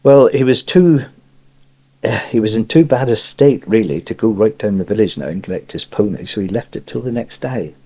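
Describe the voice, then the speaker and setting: A man's voice: on the low side, animated, normal speed, warm tone, breathy edge. An older man with a Cornish accent, telling a rambling local story and chuckling as he goes, heard on an old cassette tape. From Cornwall. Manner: chuckling, rambling yarn-spinner. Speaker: dialect storyteller. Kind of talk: spoken local anecdote.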